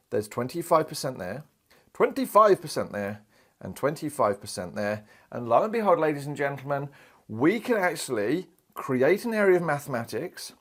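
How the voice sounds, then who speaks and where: Lively, chatty male maths teacher. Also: on the high side. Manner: lively, chatty, acts out quoted voices. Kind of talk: recorded maths lesson. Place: indoor studio in front of a screen.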